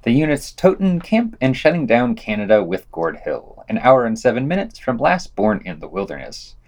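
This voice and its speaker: Male podcast reviewer, mid-pitched but animated intonation, measured analytical delivery of written critique. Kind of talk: scripted review narration.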